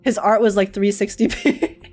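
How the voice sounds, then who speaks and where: A woman's voice: medium pitch, fairly even intonation, normal speed, darkish tone. A young woman giving casual, teasing, slangy live reactions as a game streamer. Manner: playful, teasing, giggly, slangy.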